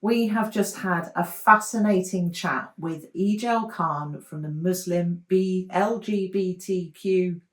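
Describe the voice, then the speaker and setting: A woman's voice: on the low side, slow, few pauses. A woman co-hosting a podcast, welcoming and friendly as she introduces the show and its guest. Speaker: podcast co-host.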